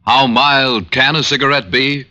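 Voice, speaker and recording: A man's voice: mid-range voice, steady tone, unhurried. A man announcing in a punchy, polished 1940s radio style, as host of commercials and show. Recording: muffled old radio recording with music.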